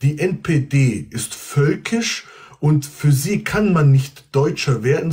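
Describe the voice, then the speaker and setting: A man's voice: on the high side, dark tone, slightly rough. A middle-aged man hosting a livestream from a room at home, debating callers bluntly and swearing at them. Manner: blunt, cutting, swears at opponents. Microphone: phone front camera at arm's length.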